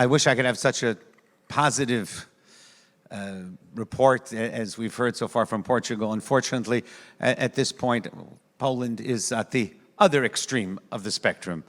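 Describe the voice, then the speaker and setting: A man with a New York accent, a rabbi giving an earnest, measured conference speech with frequent hesitations. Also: medium pitch, a bit bright, long reverb tail. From United States. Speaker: rabbi speaking on a panel.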